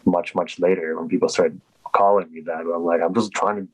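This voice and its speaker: A man's voice: low-pitched, steady tone, brisk, husky, no room sound, rough recording. A Polish-born man answering interview questions in a rambling, casual way with many restarts. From Poland. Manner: rambling, full of restarts and fillers. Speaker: guest.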